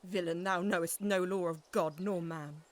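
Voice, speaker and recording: A woman's voice: on the low side, steady tone, normal speed, natural voice. A woman playing a grieving, defiant noble widow in a Shakespearean audio drama, with declamatory, emotional delivery. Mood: furious.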